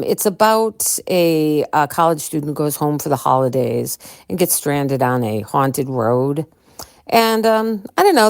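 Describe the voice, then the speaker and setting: A woman from Chicago chats casually to her podcast listeners. She sounds excitable, with a low-pitched voice and plenty of ums and restarts. The recording is dry and close. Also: creaky, no room sound. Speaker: podcast co-host.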